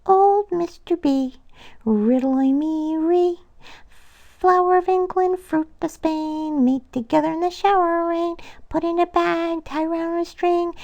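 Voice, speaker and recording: A woman's voice: extremely high pitch, steady tone, slow, a little mellow, voice pushed up, full character voice. A woman playing a cheeky, mischievous young male squirrel who chants riddles in an impudent sing-song for a storybook. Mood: cheeky, taunting.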